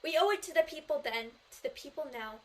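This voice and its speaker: A woman's voice: high voice, lively intonation, quick pace. A Korean teenage girl, a native Korean speaker, delivering an earnest memorized speech in English at a student contest, stressing key phrases. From South Korea. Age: teens.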